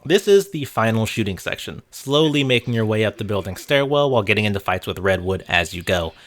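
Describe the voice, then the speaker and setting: A man's voice: lowish voice, animated, fairly quick. A man narrating a casual video game essay with wry, sarcastic commentary and casual swearing. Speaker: host of a video game review channel.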